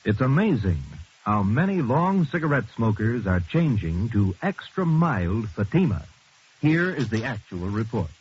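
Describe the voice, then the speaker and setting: A man with an American accent and a husky voice giving an emphatic 1950s radio advertising pitch. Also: big pitch swings, measured pace, a little mellow. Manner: emphatic, salesmanlike. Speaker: commercial announcer. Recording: dull vintage radio recording.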